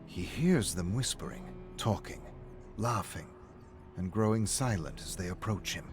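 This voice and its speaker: A man's voice: deep voice, animated, unhurried. A man giving a scripted, dramatic narration of a gritty game story. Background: music.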